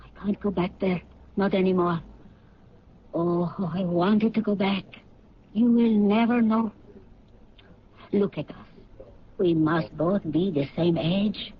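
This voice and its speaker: A woman plays a guarded exile far from home, who speaks slowly and wistfully, as if weighing every word. Her voice sits in a middle range. It comes through a dull lo-fi radio broadcast with a faint hiss. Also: mid-range voice, natural voice. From abroad. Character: exiled foreign woman in hiding, wary and sorrowful. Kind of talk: 1970s radio drama acting.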